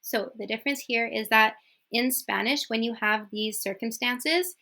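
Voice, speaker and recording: Upbeat young teacher lady, english native, clear bright voice, says okay a lot. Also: on the high side. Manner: upbeat, repeats examples slowly, many "okay?" checks. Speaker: Spanish teacher. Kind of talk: casual video lesson.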